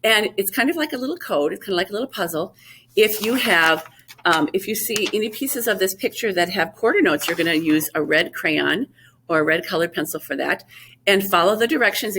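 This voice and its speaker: A woman's voice: lowish voice, a bit bright, a little hiss or hum. A woman music teacher giving a recorded lesson to young children, explaining patiently step by step. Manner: sings short phrases to show melody.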